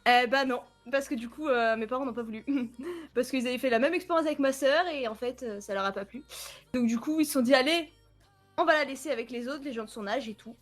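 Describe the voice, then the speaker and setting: Femme jeune et enjouée, voix aiguë. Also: female voice, sits high, brisk, faint music behind. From southern France, near Aix-en-Provence. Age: young adult. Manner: playful, self-mocking, laughs often. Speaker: guest, esports team member. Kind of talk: casual interview chat.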